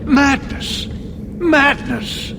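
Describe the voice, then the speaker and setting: An actor playing an anguished, overwhelmed government official in a fantasy video game, with a formal, agitated manner. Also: male voice, extremely high pitch, steady tone, extremely slow, gravelly, heavy background noise, full character voice. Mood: appalled. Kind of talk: dramatic video game acting.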